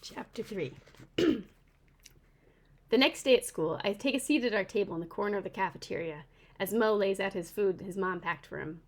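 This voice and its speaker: A woman author reading her first-person children's novel aloud in an expressive storytelling style. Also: medium pitch, normal speed. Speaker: author reading own book.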